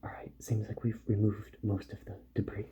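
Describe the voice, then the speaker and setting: A soft-spoken man in an ASMR roleplay, murmuring unhurriedly to the listener as a repair doctor at work, often dropping toward a whisper. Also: fairly low pitch, level tone, normal speed, lo-fi sound.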